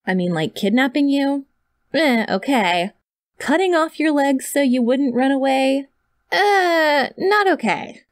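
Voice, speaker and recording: A woman's voice: sits high, expressive, takes it slowly, dry recording, studio quality. A young woman playing a sweet, awkward, obsessive kidnapper who feigns innocence in a chatty, rambling ASMR roleplay told straight to the listener. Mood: chatty, defensive, then flustered, indignant. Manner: chatty, rambling, flustered self-denials, hesitant filler words. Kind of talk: ASMR roleplay, one-sided comedic monologue to the listener. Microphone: close studio mic, dry.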